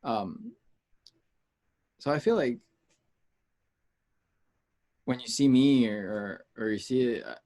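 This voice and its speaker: A man from the United States chatting casually as an interview guest, informal and rambling, pausing to gather his thoughts. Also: medium pitch, animated, mellow. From United States, Peruvian heritage. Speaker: interview guest, music artist.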